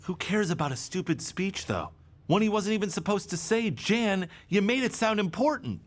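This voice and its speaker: An actor playing an irritable, defensive HR boss in an audio drama, exasperated and sarcastic. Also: male voice, high-pitched, expressive, normal speed.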